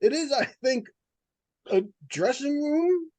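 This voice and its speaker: Pompous male paranormal investigator, played by an actor for a radio suspense play: high voice, intent, stately diction, close dry sound. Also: expressive, a little mellow, no echo, full character voice. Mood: grave, excited. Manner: formal, deliberate, portentous. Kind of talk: old-time radio suspense acting.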